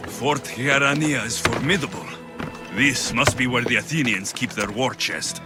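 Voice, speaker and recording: A man's voice: medium pitch, normal speed, over loud music, lightly acted. An actor playing a confident, wry male mercenary warrior of ancient Greece in a video game, blunt and commanding. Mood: dry, confident. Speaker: video game lead character. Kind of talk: dramatic game cutscene acting.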